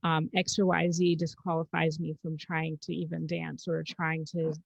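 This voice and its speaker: A woman's voice: deep voice, flat delivery, normal speed, vocal fry, no room sound. A woman from Zambia co-hosting a podcast, speaking in a reflective, encouraging way. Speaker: podcast co-host. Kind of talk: casual podcast conversation. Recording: dull dry lo-fi sound.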